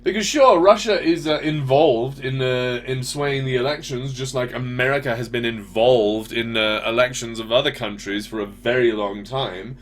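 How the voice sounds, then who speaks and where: A man's voice: medium pitch, moderate pace, few pauses. A man with a mid-range voice hosts an informal question-and-answer session. He talks bluntly and casually, sharing his opinions. A faint hum sits behind his voice. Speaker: host of a live question-and-answer show. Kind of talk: casual opinionated commentary, answering audience messages.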